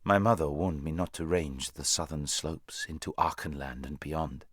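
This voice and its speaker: A man's voice: extremely low pitch, steady tone, normal speed, fairly bright tone, husky, no room sound, studio quality, heavily acted. A man voicing a proud, worldly talking war horse in a storybook, lecturing in a haughty, bossy way. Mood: rueful, proud.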